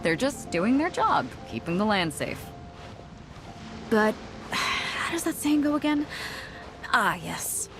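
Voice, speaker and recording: A woman's voice: medium pitch, big pitch swings, takes it slowly, pauses often. An actress playing a confident, worldly pirate captain in a video game, assured and teasing as she explains things. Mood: confiding, amused. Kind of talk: voiced video game dialogue. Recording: music behind the dialogue.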